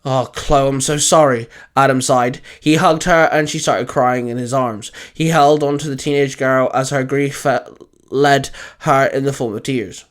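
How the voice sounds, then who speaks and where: A man hosting and narrating a fan fiction story in a dramatic, deliberate, emphatic scripted style. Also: mid-range voice, steady tone. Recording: music under the voice. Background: music.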